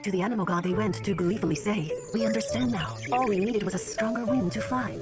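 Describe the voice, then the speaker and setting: A woman narrating a solemn fable as a storybook narrator in a video game, measured and lyrical. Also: medium pitch, close to an everyday voice. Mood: solemn, storytelling. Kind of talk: scripted fairy-tale narration. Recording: loud music under the voice. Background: music.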